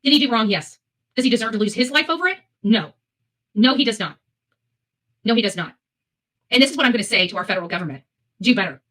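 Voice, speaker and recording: Female live commentary host, slightly high, forceful voice, blunt and emphatic opinion delivery with repeated phrases. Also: animated, fast talker, long pauses.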